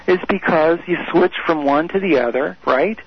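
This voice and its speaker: A man's voice: on the high side, moderate pace, slight background noise. A man speaking as a guest on a radio call-in show, coaching listeners in an emphatic, repetitive way. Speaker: guest expert on the brain. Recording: dull lo-fi radio audio.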